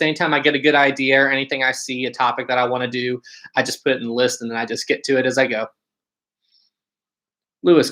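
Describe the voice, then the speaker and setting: A man's voice: a little high, a bit bright. A man with a West Virginia accent hosting a casual livestream, chatting informally and answering viewer comments. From West Virginia, United States. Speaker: livestream host.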